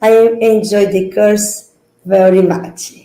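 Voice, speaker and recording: A Brazilian woman in her forties with a Brazilian Portuguese accent, introducing herself deliberately as a language student. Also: mid-range voice, steady tone, mellow, roomy sound, poor audio. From Minas Gerais, Brazil. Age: 40s.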